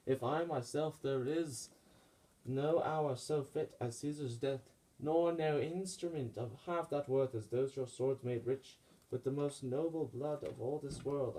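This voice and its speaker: A fervent Roman nobleman, acted by a man, full of grief and vengeance. The voice is middle-pitched and declaims theatrical Shakespearean verse for a puppet show with impassioned weight. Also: dark tone, natural voice, poor audio.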